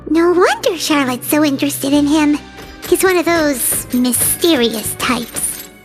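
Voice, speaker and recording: Super high squeaky little fairy sidekick for a game, excitable, really swoopy pitch. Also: female voice, a little slow, over music. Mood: puzzled. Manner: speaks of self in third person, blurting questions. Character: tiny excitable childlike fairy companion, female. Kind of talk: video game voice acting.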